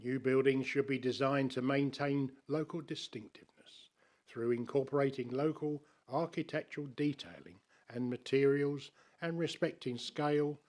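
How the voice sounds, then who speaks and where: A man's voice: mid-range voice, darkish tone. Formal man reading a planning objection. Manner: measured and formal, with dry asides. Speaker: councillor objecting at a planning committee. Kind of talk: reading a prepared statement to a committee. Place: council chamber.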